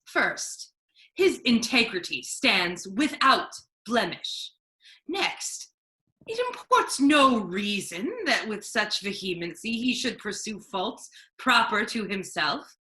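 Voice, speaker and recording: A woman playing an imperious, sardonic duke in a Shakespeare reading, speaking in a deliberate, declamatory way. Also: medium pitch, lively intonation, bright, forceful tone. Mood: stern, indignant. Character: imperious duke, a ruling man, sardonic judge.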